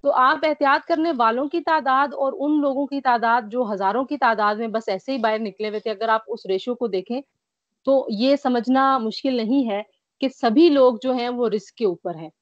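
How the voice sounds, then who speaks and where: Tired woman, slightly breathless with a light cough, earnest guest; higher voice, dry lo-fi video call sound. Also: normal speed, dry recording. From Pakistan. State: mild cough. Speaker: guest recovering from covid. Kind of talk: remote interview. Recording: dry, dull lo-fi call audio.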